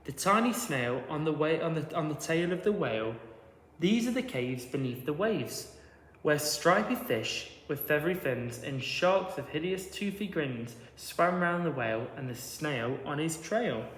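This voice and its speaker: A man's voice: on the high side, expressive, a little slow, fairly bright tone. A man reading a rhyming children's storybook aloud in a rhythmic narrating style. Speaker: teacher reading to pupils. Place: large reverberant indoor room. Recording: echoey hall with long reverb.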